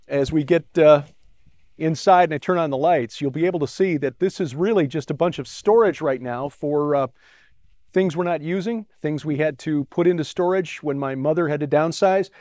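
A middle-aged man hosting a ministry program, giving a relaxed spoken tour with frequent fillers, recorded on a lapel mic in a large pole barn. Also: a little high, normal speed, no room sound. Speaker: ministry host. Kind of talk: casual walkthrough talk to camera. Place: inside a large metal pole barn and outdoors beside it.

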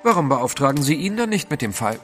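An actor in a German audio drama playing a cool, sceptical gentleman adventurer with a formal, haughty manner. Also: a little high, very animated, fast talker, faint music behind. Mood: probing.

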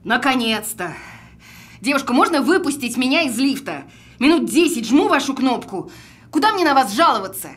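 A woman's voice: high voice, sing-song, normal speed, fairly bright tone. An actress playing an impatient, demanding woman stuck in a lift, in an audio film. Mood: exasperated. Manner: demanding, exasperated.

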